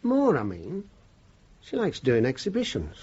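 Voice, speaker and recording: A man's voice: medium pitch, wildly swooping pitch, unhurried, mellow, husky, heavily acted. An actor playing an easygoing, self-deprecating British working man in his sixties, deferential and a little hesitant, in a radio play. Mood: polite. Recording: dull, noisy radio play recording.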